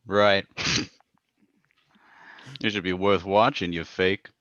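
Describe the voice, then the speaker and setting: A man's voice: low voice, steady tone, slow, fairly bright tone, no room sound. A man playing a sly, mistrustful, sardonic racketeer in a 1940s-style radio drama, in dull, muffled sound. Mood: mocking menace. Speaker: actor in an old-time radio drama revival.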